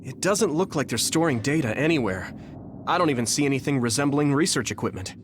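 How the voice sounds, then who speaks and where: A man playing an earnest, kindhearted young hero in an anime game, speaking with gentle concern. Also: medium pitch, expressive, brisk, lightly acted. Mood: puzzled. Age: young adult. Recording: clear ambient noise behind dialogue.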